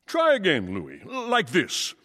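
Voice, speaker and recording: A man's voice: high voice, huge pitch swings, slow pace, exaggerated voice. An actor playing a pompous, proud father swan in a children's story, with a resonant voice and flowery, oratorical delivery. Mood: solemn coaxing.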